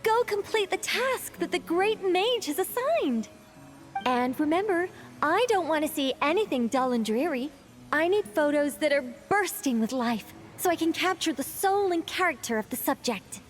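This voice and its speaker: High-voiced, whimsical, self-important mage. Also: female voice, lively intonation, moderate pace, soft background music. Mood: commanding. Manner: theatrical, musing, grandly commanding. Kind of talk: video game voice acting.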